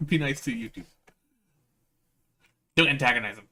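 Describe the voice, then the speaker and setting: A man livestreams in a loose, playful, rambling way, full of slang, with a mid-pitched voice. He talks casually to his chat over faint background noise. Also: lively intonation, slow, pushed and bright. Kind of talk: casual livestream chat, reading visual novel aloud.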